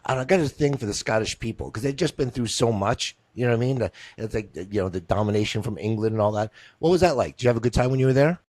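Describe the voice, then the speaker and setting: A man's voice: mid-range voice, animated, fast talker, few pauses, a little mellow, no room sound. A man hosting an interview on a video call, jokey and rambling, often repeating himself. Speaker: interview host. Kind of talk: casual interview.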